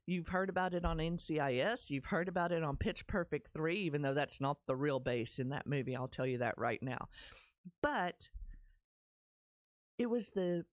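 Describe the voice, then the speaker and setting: A middle-aged woman with a Texas accent tells her personal story on a podcast, earnest and candid as she speaks straight to her listeners. Her voice sits a little low. She is recorded close on a studio microphone in a small studio, with a dry, dead sound. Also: dry recording. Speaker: podcast host. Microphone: studio mic on stand, close, with headphones.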